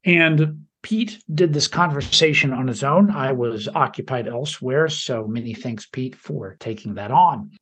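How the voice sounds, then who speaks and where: A man co-hosting a podcast, reading an introduction in a measured, slightly halting way. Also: mid-range voice, a bit bright, slightly rough. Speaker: podcast co-host.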